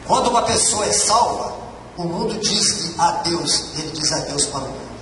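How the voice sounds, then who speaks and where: A man's voice: high voice, animated, moderate pace. A man, preaching an earnest sermon and repeating his key phrases to exhort the congregation. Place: large church hall. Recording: echoey church hall from far off, noisy.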